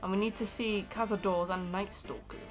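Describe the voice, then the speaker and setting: A woman's voice: mid-range voice, fairly even intonation, normal speed, soft background music, poor audio. A woman co-hosting a casual fan discussion in a conversational style. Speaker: video co-host.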